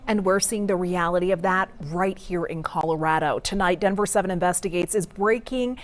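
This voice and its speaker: A woman's voice: fairly low pitch, brisk. An American woman presenting scripted television news as an anchor.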